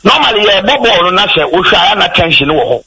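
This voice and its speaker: A man with a Ghanaian accent, a football pundit on a sports talk show, talking in an animated, rambling way and switching between English and Twi. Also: high voice, fairly quick, vocal fry, breathy edge. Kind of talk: radio sports discussion.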